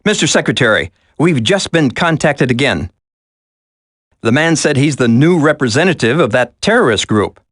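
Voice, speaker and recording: An earnest male aide in a video game cutscene. He reports formally and deferentially in a mid-range, animated voice with a dry, close sound. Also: medium pitch, moderate pace, dry recording. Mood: concerned report. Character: earnest male government aide, respectful and concerned.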